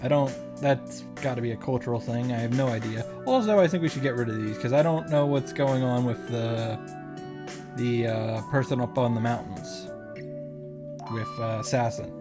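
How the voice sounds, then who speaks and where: A man hosts a visual novel reaction in a casual, off-the-cuff way. His voice sits at an average pitch, and he tends toward exasperated commentary. Game music plays clearly behind him. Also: moderate pace. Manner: exasperated, sweary asides.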